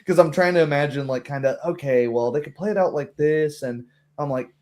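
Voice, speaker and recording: A man talks casually as a game master, in a conversational and animated way. He slips into quoted asides as he explains his thinking about a tabletop game. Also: a little high, brisk.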